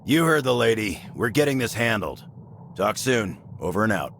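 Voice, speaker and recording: An actor playing a cocky, gruff action-game soldier with a gravelly voice and curt, deadpan quips. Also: male voice, on the low side, normal speed, a little hiss or hum. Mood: businesslike. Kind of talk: video game cutscene acting.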